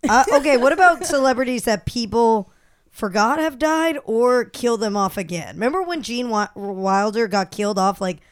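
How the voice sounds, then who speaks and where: A woman's voice: fairly high pitch, expressive, moderate pace, smooth. A woman co-hosting a podcast who teases the others and tells anecdotes, acting out what people said. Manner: storytelling, teasing, quotes others. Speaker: podcast co-host. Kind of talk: casual chat.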